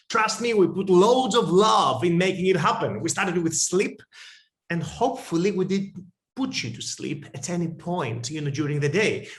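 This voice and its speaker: A man's voice: high voice, fairly bright tone. A man with a Greek accent giving witty, joking closing remarks as co-chair of an online conference. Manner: witty, playful, joking asides. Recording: roomy echoey video-call audio.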